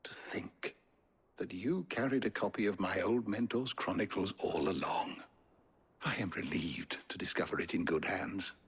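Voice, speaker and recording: A man's voice: low voice, moderate pace, a bit bright, slightly rough, full character voice. A man playing a learned, courteous old lore-keeper in a video game, with a formal, musing storyteller's manner. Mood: musing, relieved.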